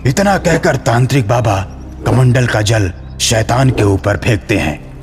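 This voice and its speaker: A man's voice: mid-range voice, expressive, a little slow, big-room sound, soft background music. A man giving scripted narration for a horror story.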